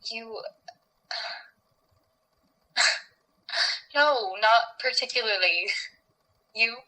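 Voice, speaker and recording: A bright-toned woman's voice at a very slow pace, an actress playing a weary, practical researcher in a horror audio drama. She is forthright and deliberate, leaving pauses and sighing between phrases. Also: fairly high pitch, a little hiss or hum, exaggerated voice, rough recording. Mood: wry, weary. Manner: measured, deliberate, with sighs and pauses.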